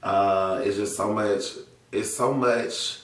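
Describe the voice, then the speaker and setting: A man's voice: lowish voice, steady tone, slow pace, a bit bright, echoey room, distant mic. A man co-hosting a casual podcast, laid-back and teasing, often answering in short replies. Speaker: podcast co-host.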